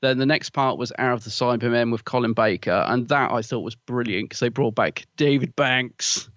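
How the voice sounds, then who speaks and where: A man talks through his week in a loose, rambling podcast chat, full of fillers and quick laughs. His voice sits mid-range and comes across a little muffled. The recording is close and dry. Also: mid-range voice, a bit bright, no room sound. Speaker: podcast co-host. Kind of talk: casual chat.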